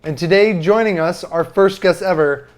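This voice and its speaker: A man's voice: high voice, moderate pace. A young adult man hosting a podcast in a casual, chatty style. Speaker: podcast host. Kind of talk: casual chat.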